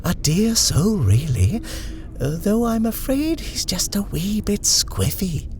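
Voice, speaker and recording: Storybook housekeeper: a fussy, fluttery woman, played high by a male narrator. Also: big pitch swings, a little mellow, faint music behind, heavily acted. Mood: confiding. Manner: fluttery, hurried, insistent. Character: fussy bustling middle-aged female housekeeper.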